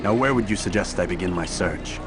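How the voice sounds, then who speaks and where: An actor playing a proud, curt young assassin who speaks in cool, terse questions and statements. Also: male voice, deep voice, a little fast, background noise, lightly acted.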